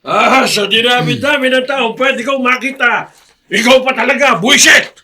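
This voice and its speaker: An actor playing a bitter, scornful old Filipino grandfather with a raspy, strained voice and explosive temper, in melodramatic radio drama style. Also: very high-pitched, moderate pace, pushed and bright, full character voice. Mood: enraged. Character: bitter, vengeful old grandfather, quick to rage.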